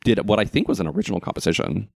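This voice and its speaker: A man's voice: lowish voice, huge pitch swings, racing, vocal fry, darkish tone, no echo, clean studio sound. An American man co-hosting a podcast, chatting casually and wryly about local theater. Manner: jokey, wry, chatty. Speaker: podcast co-host.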